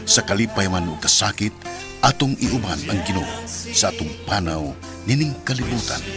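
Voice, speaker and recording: A man's voice: on the low side, animated, normal speed. A man with a Cebuano Filipino accent narrating a radio drama in a solemn, scripted, moralizing style. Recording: radio narration over clear music.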